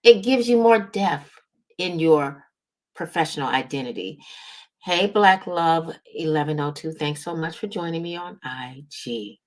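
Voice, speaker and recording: A woman's voice: deep voice, lively intonation, unhurried. An American woman from the Midwest hosting a casual motivational livestream as a business coach, speaking straight to her audience and stressing key words. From Midwestern United States. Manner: emphatic, drawing out key words, checking in with "right?" and "y'all". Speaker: host, business strategist and coach.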